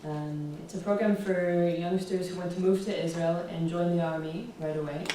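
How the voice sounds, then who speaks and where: A young American woman giving a relaxed public talk with slides, often pausing with "um". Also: lowish voice. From United States. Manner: relaxed, fills pauses with ums. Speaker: guest speaker. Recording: roomy echo, distant, faint hiss.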